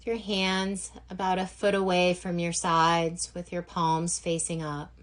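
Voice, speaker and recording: A woman guiding a yoga nidra relaxation session as instructor, gentle and unhurried, leaving long pauses between phrases. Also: fairly low pitch, little pitch movement. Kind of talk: guided meditation instruction.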